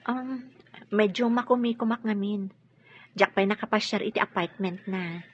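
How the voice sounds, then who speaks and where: A woman's voice: medium pitch, moderate pace, lightly acted. Earnest woman in love, lo-fi sound actress. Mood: hesitant. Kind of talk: radio drama acting. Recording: dull, noisy lo-fi radio.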